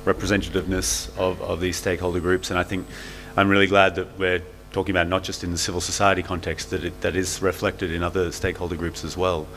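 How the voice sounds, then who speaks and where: A man's voice: low voice, flat delivery, fast, hardly any pauses, a bit bright, a little hiss or hum. A man speaking as a panelist at a conference, thoughtful and hedging as he works through his points. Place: conference hall.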